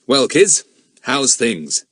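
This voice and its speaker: A man's voice: mid-range voice, very animated, drawn-out words, a bit bright, breathy edge, dry recording, pitched above the performer's own voice, heavily acted. A man voicing a hearty, fond grown-up friend with a teasing, jovial manner in an audiobook. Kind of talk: audiobook character voice.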